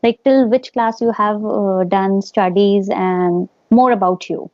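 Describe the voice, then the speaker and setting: A woman English teacher with an Indian accent speaks encouragingly on a video call, slipping into Hindi phrases. Her voice sits at a middle pitch. The call audio is dry and a little muffled. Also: steady tone, takes it slowly, darkish tone, no room sound. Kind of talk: casual video call conversation.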